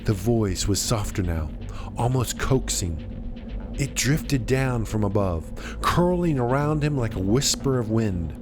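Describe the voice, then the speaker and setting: Unhurried, expressive male story narrator. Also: medium pitch. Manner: suspenseful, measured, with dramatic pauses. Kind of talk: dramatic scripted story narration. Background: music.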